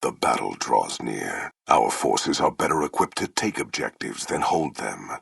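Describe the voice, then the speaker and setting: An actor playing a merciless, imperious warlord in a video game, with a gravelly, husky voice and grandiose, menacing, formal speech. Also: male voice, very deep voice, big pitch swings, measured pace, a bit bright, dry recording, clean studio sound, heavily acted. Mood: cold disdain. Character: merciless, imperious warlord commanding a slave army. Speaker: army commander. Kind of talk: theatrical video game villain dialogue.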